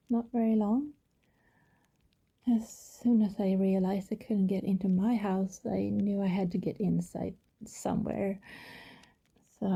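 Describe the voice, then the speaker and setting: A woman playing a shy, secretive young vampire neighbor in an ASMR roleplay, speaking softly, hesitantly and apologetically. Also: mid-range voice, exaggerated voice. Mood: bashful, pleased. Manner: hesitant, soft-spoken, apologetic, breathy.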